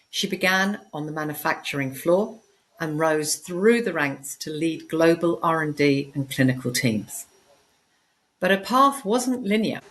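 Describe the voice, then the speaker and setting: A woman hosting a podcast, reading a polished introduction and then chatting with her guest. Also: deep voice. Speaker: podcast host. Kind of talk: scripted intro then interview chat.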